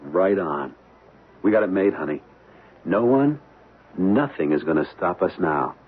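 An actor playing an easygoing, confident and contented husband in an old radio drama. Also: male voice, low voice, expressive, measured pace, breathy edge, full character voice. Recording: muffled, band-limited old radio recording.

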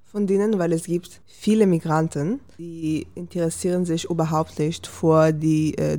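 A young woman from Israel speaking German, careful and deliberate, as a panel guest. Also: fairly low pitch, warm tone. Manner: careful, deliberate non-native phrasing. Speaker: panel guest, language student. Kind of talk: panel discussion.

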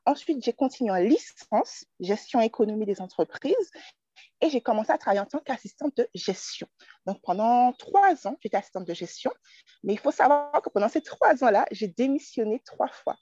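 A young woman from Martinique tells her story as a guest in a relaxed, chatty way. Her voice sounds dull and lo-fi. The recording is dry and close, with no room sound. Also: fairly high pitch, moderate pace, slightly rough, no room sound. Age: 20s. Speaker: guest entrepreneur. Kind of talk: casual interview conversation.

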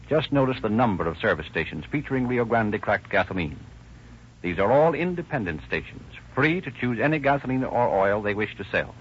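A man reading a persuasive sponsor announcement on a 1930s radio show, with a muffled old recording sound. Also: medium pitch. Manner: smooth persuasive sales read.

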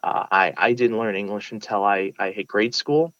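A young man talks in a fairly low voice, earnest and a little halting as he restarts his sentences. The sound is dry and close, and slightly muffled. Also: steady tone, a bit bright, no room sound. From United States (Brooklyn). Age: young adult. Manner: earnest, frequent restarts and fillers. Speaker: interview guest, valedictorian. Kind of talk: casual interview.

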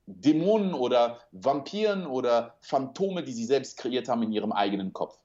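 A man whose first language is French talks as a coach in an interview, earnest and motivational, spinning out long metaphors. His pitch sits mid-range for a man. The recording is a little muffled. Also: sing-song, moderate pace, darkish tone, a little hiss or hum. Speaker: interview guest, speaker and coach. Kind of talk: casual interview talk.

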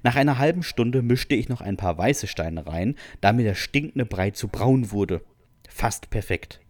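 A man's voice: medium pitch, expressive, measured pace, darkish tone, no room sound. A man co-hosting a podcast, reading out listener stories in an amused, informal way. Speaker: podcast co-host.

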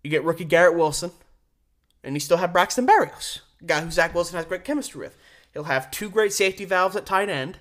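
A man hosting a solo sports podcast, giving conversational, opinionated commentary with emphatic stresses and deliberate pauses. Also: high-pitched, expressive, a bit bright.

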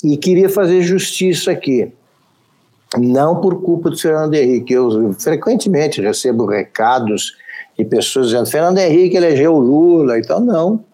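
A man's voice: fairly high pitch, moderate pace, mellow. A man with a Brazilian accent hosting a political talk show, giving opinionated, wry commentary. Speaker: show host.

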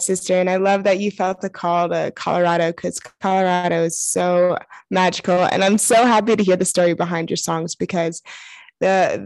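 A woman with a creaky, fry-laden voice at a middle pitch hosts a casual chat. She is effusive and affirming, talking informally. The recording is dry and close. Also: a little mellow, dry recording. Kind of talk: casual spiritual conversation.

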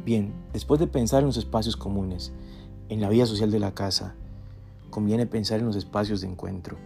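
A man giving a reflective academic lecture in a measured, deliberate way, breaking his phrases with pauses. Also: lowish voice, fairly even intonation, vocal fry. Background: guitar music.

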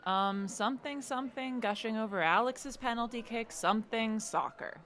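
A woman's voice: fairly high pitch, moderate pace, a bit bright, close to an everyday voice. A woman acting in an audio drama as a guarded, stressed teenage girl athlete, with a dry, defensive delivery. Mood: teasing.

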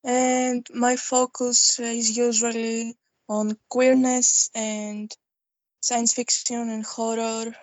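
A young adult woman from Greece with a Greek accent, a guest poet who talks thoughtfully in a casual interview, pausing on fillers. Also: a little high, no echo, low-quality recording. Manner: thoughtful, unhurried, halting with fillers.

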